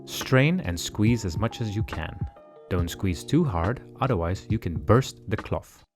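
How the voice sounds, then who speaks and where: Guy with a lowish voice calmly walking thru a kitchen recipe, soft guitar music under it. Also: a little slow. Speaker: cooking channel host. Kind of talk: calm instructional cooking voice-over. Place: home kitchen.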